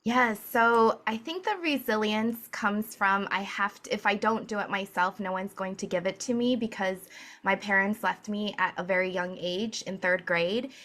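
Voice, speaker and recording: A woman from Hawaii, a business coach and author, telling her story in an energetic, earnest way and laughing often. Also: mid-range voice, normal speed. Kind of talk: conversational interview answers.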